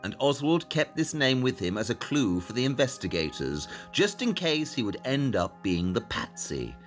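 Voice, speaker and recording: An actor playing a brilliant, assured detective in an audio drama, speaking in a precise, formal, expository way. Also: male voice, medium pitch, sing-song, normal speed, soft background music, lightly acted. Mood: triumphant.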